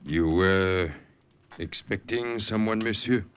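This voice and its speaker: Stern French partisan, very low voice, guarded, formally polite, 1940s radio drama. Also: male voice, slow, clean tone. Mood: guarded, suspicious. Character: wary, stern French Resistance farmer distrustful of Germans.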